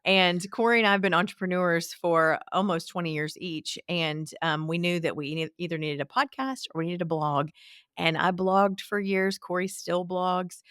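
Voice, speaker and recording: Playful woman in her forties, low-ish voice. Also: normal speed, fairly bright tone, clean tone, dry recording, clean studio sound. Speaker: business coach, podcast co-host. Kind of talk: casual interview chat.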